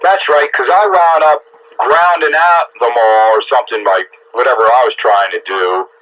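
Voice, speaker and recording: A man's voice: medium pitch, normal speed. A man having a casual chat as a radio operator, heard over a muffled two-way radio transmission. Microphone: radio set microphone heard over air.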